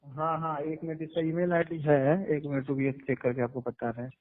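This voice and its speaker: A man's voice: a little high, level tone, moderate pace. A man with a Bihari Hindi accent explaining things in a phone conversation, repeating phrases for emphasis. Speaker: music label owner. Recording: narrow tinny phone line.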